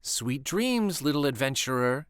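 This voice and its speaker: A man voicing a kind, gentle young farm girl in a storybook, speaking softly. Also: mid-range voice, very animated, a little slow, smooth, no room sound, studio quality.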